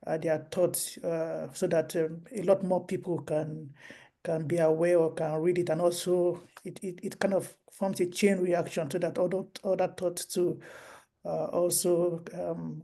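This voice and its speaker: Female host, online book talk. Soft mellow tone, lowish pitch, halting and considered delivery. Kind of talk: semi-formal moderated online talk. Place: online video call.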